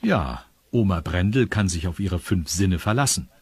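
A man's voice: deep voice, animated, moderate pace, darkish tone, slightly rough. A man narrating a scripted children's story, confiding in his young listeners. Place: studio.

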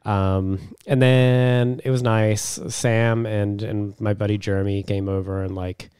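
A man hoarse and raspy with a cold, chatting casually as a podcast co-host in a sweary, self-deprecating way. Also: lowish voice, flat delivery, unhurried, darkish tone, no room sound, clean studio sound. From a subtropical island. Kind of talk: casual chat.

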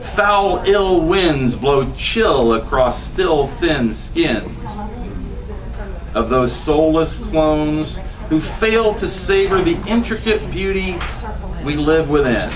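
A man in his fifties giving a poetry reading with chatty, laughing asides in a small bookstore room. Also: a little high. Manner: animated, gesturing, laughs between lines. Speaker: poet. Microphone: far camera, no mic. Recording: voice from a distance.

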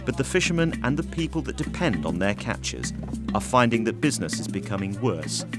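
A man giving a scripted documentary narration in a measured, even voice. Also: fairly low pitch. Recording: voice-over with music bed. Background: music.

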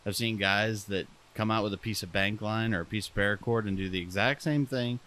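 A young man with a slightly low voice talks casually on a podcast. He rambles, restarts his sentences and pokes fun at himself. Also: steady tone, a little fast, bright, forceful tone, a little hiss or hum. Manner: rambling, self-deprecating, many restarts. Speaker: podcast co-host.

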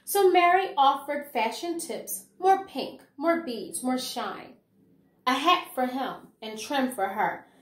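Higher-voiced woman educator in an echoey room. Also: expressive, slow pace. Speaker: educator reading a children's picture book aloud. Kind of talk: expressive read-aloud and book commentary for children and teachers. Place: small room at home, indoors. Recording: roomy indoor echo.

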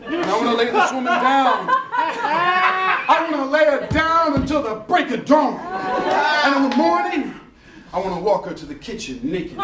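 High animated guy doing a theatrical comedy reading, echoey room, big laughing crowd. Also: far from the mic, very noisy. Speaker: guest reader at a live event. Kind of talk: comedic live reading to an audience. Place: crowded dim indoor bar venue. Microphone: stand microphone at a lectern. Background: loud audience laughter.

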